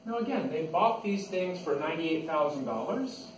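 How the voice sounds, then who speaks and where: A man's voice: a little high, lively intonation, moderate pace. Echoey, distant male classroom lecturer. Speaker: accounting instructor. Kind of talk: classroom lecture, explaining step by step. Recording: echoey, noisy room, distant microphone.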